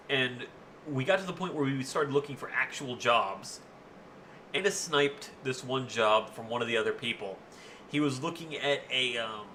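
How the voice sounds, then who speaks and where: A young man with a booming voice, rambling through a casual story as a streamer, swearing freely with lots of fillers. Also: pushed and bright, a little hiss or hum.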